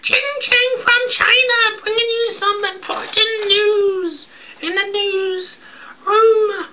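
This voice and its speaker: A shrill falsetto newsman. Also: fairly even intonation, takes it slowly, heavily acted. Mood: shrill shouting. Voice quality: squealing falsetto, shouted. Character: shrill comic male newsreader, goofy. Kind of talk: childhood comedy bit. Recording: dull lo-fi webcam sound with noise.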